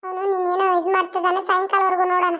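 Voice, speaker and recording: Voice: extremely high pitch, completely flat delivery, rapid-fire, pushed and bright. A performer voicing a brave, protective young village man who reassures and defies. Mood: reassuring. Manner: reassuring, defiant. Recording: dull lo-fi sound.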